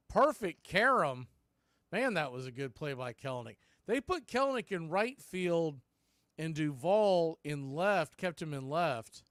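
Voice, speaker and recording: A man calls baseball live for a radio-style stream. His voice sits a little high, with folksy, punchy delivery. The sound is close and dry, with no room around it. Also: no echo. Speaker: baseball play-by-play host. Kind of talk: live radio sports commentary.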